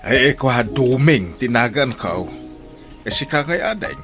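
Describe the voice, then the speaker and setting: A man's voice: medium pitch, expressive, unhurried, music in the background, close to an everyday voice. An actor playing a chatty, flirtatious male tricycle driver in a radio drama, with a Filipino accent.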